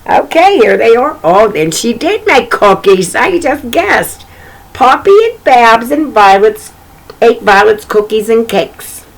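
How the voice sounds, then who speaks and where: A woman's voice: a little high, big pitch swings, slow, gravelly, poor audio. A woman hosting a read-aloud for children, chatting directly to her young listeners with asides and repeated phrases as she reads a picture book. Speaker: children's story reader and host.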